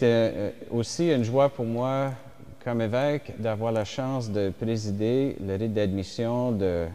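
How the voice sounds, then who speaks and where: A man whose first language is English, giving a slow, reflective French homily as a bishop at mass, with pauses and fillers. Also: lowish voice, mellow, clear, resonant tone. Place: church. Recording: reverberant church hall.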